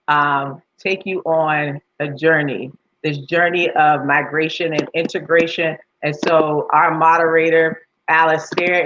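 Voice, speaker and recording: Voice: mid-range voice, a bit bright. A program host handing over to the students, upbeat and calling the audience "guys". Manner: upbeat, addresses the listeners as "guys". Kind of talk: casual host handover. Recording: dull lo-fi audio with faint noise.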